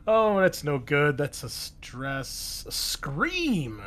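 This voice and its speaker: A man's voice: fairly high pitch, huge pitch swings, extremely slow, fairly bright tone. A man with an American accent working as a game master, describing scenes in a conversational way and chatting casually with players. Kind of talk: improvised tabletop game narration with casual table talk.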